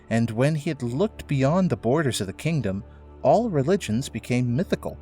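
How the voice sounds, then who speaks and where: A man's voice: medium pitch, darkish tone. A man reading scripted narration for an audio drama, in a storytelling style. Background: faint music.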